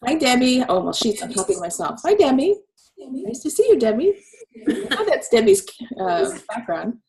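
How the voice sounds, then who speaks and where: A woman in her forties with a cold, hosting a casual video call chat, chatty and quick to laugh. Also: sits high, sing-song, a little mellow, slight background noise, poor audio. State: snuffly from a cold. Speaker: host.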